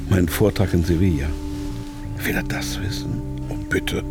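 A kidnapped businessman in a radio drama. His voice is on the low side, agitated and muttering as he strains to stay reasonable. Music is clearly heard behind him. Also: measured pace. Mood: searching, muttering. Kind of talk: German radio drama acting.

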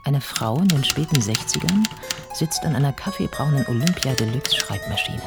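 A man giving a scripted radio play narration in a measured, deliberate, descriptive manner. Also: medium pitch, animated, vocal fry, loud music behind the voice.

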